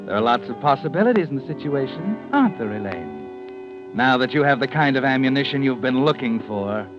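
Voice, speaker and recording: A man's voice: medium pitch, big pitch swings, close to an everyday voice. An actor plays a sardonic, ominous storyteller of vintage radio suspense. His intonation sweeps expressively over prominent music. The recording is muffled and old. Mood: insinuating. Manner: ominous, insinuating, deliberate phrasing. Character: sardonic, knowing, omniscient mystery narrator. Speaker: narrator. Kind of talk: 1940s radio suspense narration in second person.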